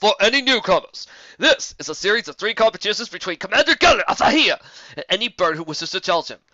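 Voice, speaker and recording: A woman voicing a stocky old male griffin ring announcer, declaiming loudly and officially in a storybook. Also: medium pitch, very animated, fast, dry recording, dull audio, voice pushed up. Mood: official, proclaiming.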